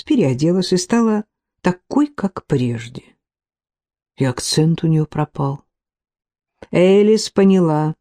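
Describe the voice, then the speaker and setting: A woman narrating a novel as an audiobook, reading every character's lines in her own unhurried voice. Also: on the low side, mellow.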